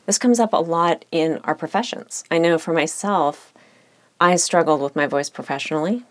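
A woman lawyer hosting a solo podcast, speaking in a reflective, measured, candid way with occasional vocal fry and uptalk. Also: deep voice, faint music behind.

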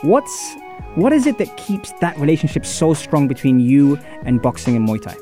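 A man hosting a radio talk show, upbeat and animated, interviewing a studio guest. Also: moderate pace, soft background music. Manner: upbeat, animated presenter with lively pitch.

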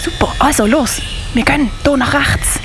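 A woman's voice: sits high, moderate pace, background noise, close to an everyday voice. A woman playing a curious, plucky young girl in a children's Christmas radio play, speaking. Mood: alert, curious.

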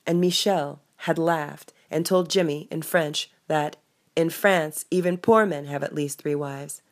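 A woman narrates fiction in a low-pitched voice. She is the author, reading her own book aloud in audiobook style. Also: fairly even intonation, measured pace.

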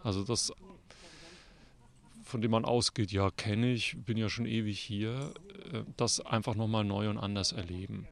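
Lower-voiced man with a very creaky voice. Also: steady tone, normal speed. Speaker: theatre director, interviewee. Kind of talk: spontaneous interview explanation.

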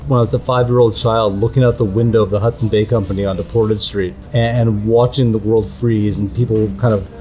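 A middle-aged man from Canada, a guest ethnographer speaking in a measured, reflective way with frequent restarts. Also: lowish voice, fairly even intonation, mellow. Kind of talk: conversational interview.

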